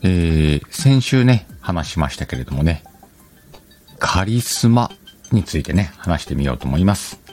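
A man's voice: deep voice, sing-song, measured pace, darkish tone, faint music behind. A man hosting a casual solo talk show, chatting in short, conversational phrases. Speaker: host of an audio talk show. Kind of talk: casual solo radio-style chat.

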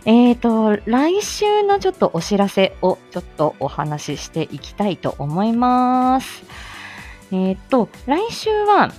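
A woman's voice: on the high side, huge pitch swings, slow, darkish tone, faint music behind. A woman hosting a casual live chat with announcements, talking in a polite, flustered, giggly and self-deprecating way. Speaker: livestream host.

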